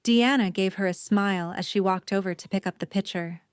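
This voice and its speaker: A woman's voice: mid-range voice, a little fast, clean tone, no echo, studio quality. A woman reading scripted story narration for an audio drama.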